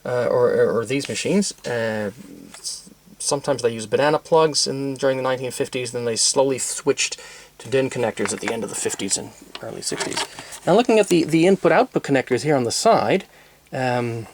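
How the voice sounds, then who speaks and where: Male demo presenter, medium pitch, unscripted and methodical technical explainer with hesitant, halting delivery. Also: a little hiss or hum. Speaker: presenter demonstrating vintage tape recorders.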